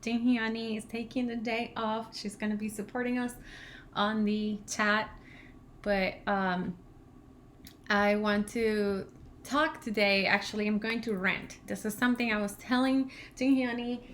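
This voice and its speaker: A woman's voice: medium pitch, measured pace, a little hiss or hum. A woman in her thirties from Venezuela with a Venezuelan Spanish accent, whose first language is Spanish, chatting casually and rambling on a livestream as a language channel co-host. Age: 30s. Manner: chatty, rambling, laughs often, grows animated when ranting.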